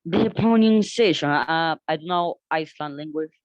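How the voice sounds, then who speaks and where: A young man with a Colombian Spanish accent, presenting a class podcast project in halting English, often stopping to search for words and restart. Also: a little high, no room sound. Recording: dull, dry close-mic recording.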